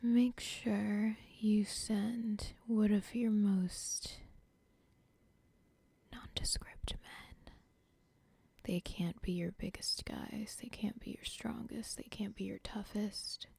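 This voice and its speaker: A woman's voice: mid-range voice, fairly even intonation, darkish tone, dry recording, heavily acted. A woman whispering as a scheming cartel boss. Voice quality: breathy whisper. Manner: slow, close whispering, plotting aloud. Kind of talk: ASMR roleplay.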